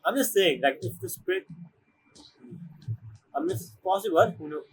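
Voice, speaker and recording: Animated high-pitched man commenting on a game. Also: moderate pace, a little hiss or hum, poor audio. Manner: excitable, rambling, swearing. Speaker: game streamer. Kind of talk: casual gameplay commentary.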